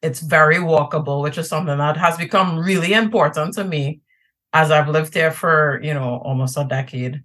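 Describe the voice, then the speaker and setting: A woman's voice: deep voice, moderate pace, fairly bright tone. A Trinidadian woman in a casual podcast interview, chatty and easygoing, with many fillers and restarts. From Trinidad and Tobago. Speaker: podcast guest.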